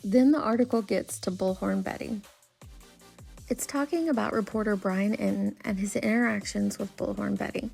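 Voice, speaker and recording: A woman giving scripted commentary in the style of an online video host, summarising an article in a measured, earnest way. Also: mid-range voice, creaky, dark tone, rough recording. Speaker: true crime YouTube commentator.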